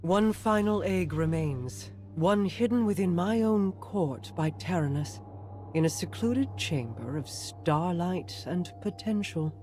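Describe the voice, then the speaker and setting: A woman's voice: lowish voice, expressive, slow pace, warm tone, soft background music. A woman playing a regal, enigmatic immortal queen in a video game, composed and formal in her delivery. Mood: solemn.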